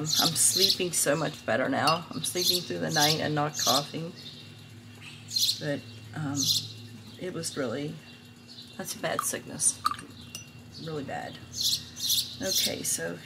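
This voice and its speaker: Hoarse middle-aged woman host, gravelly raspy voice, unhurried art review, birds chirping in a noisy room. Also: low voice. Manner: slow, rambling, many fillers and restarts. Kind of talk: casual art supply review.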